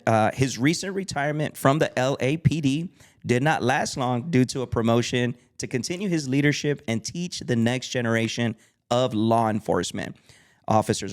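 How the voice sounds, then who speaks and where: A man's voice: mid-range voice, normal speed. A man hosting a podcast, enthusiastic and chatty, with many ums and restarts. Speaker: podcast host. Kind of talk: casual chat.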